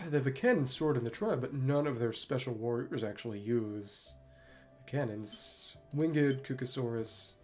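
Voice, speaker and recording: A man's voice: mid-range voice, animated, unhurried, a little mellow, faint music behind. A man streaming a game, musing to himself in short asides and reading dialogue aloud in a casual way. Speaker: gaming streamer.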